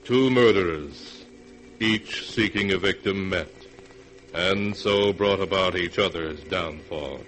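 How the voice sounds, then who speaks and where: Man, 1940s radio horror host, slow delivery, portentous, theatrical, faint music behind, vintage recording. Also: low-pitched, a bit bright, rough recording.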